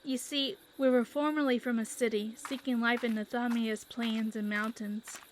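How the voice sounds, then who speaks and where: A woman's voice: a little high, steady tone, moderate pace, a little hiss or hum, close to an everyday voice, rough recording. A woman playing an earnest young homesteader in a fantasy audio drama. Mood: wistful.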